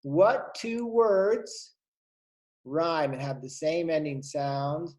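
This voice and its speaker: A man teaching kindergarten, reading a storybook aloud to young children and asking them questions in an animated, encouraging way. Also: fairly high pitch, very slow.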